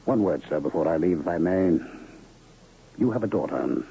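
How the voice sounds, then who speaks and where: Low voiced courtly medicine show man, flowery cryptic talk, old radio drama, kinda dull lofi sound. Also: expressive, creaky, warm tone, husky, full character voice. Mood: solemn. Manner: florid, theatrical, measured speech. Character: smooth-talking medicine man, showman illusionist, cryptic and courtly. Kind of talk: 1970s radio drama acting.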